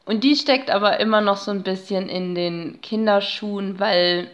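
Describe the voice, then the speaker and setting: A woman's voice: medium pitch, steady tone, moderate pace. Woman explaining things in a chatty academic way. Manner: chatty academic explaining in loose, winding sentences. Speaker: podcast guest, narratologist and researcher. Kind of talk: explanatory, conversational interview.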